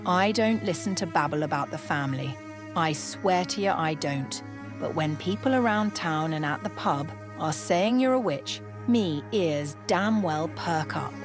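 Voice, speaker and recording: A woman's voice: low voice, lively intonation, a little slow. A woman voicing an earnest, loyal young working-class maid in a storybook, colloquial and deferential. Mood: troubled. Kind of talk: period storybook dialogue. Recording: music behind the voice.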